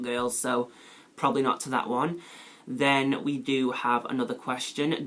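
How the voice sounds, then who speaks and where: A young man with a Mancunian accent, talking in a mid-pitched voice. He rambles chattily, filling gaps with "like" and "you know", and his voice jumps high when he exclaims. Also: fairly bright tone. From England. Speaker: beauty vlogger. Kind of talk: casual chat answering a question tag.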